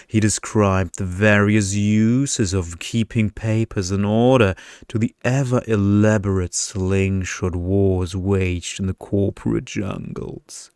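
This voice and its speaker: Male ASMR narrator needed: smooth low tone, unhurried measured reading of a bedtime story, very dry sound. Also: fairly even intonation, darkish tone, no echo.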